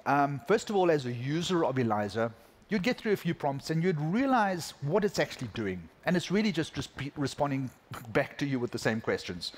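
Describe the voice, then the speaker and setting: A middle-aged man with a South African accent giving a conference keynote talk, relaxed and full of ums and restarts. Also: medium pitch. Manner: relaxed, thinking aloud with many ums and restarts. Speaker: conference speaker. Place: large conference hall.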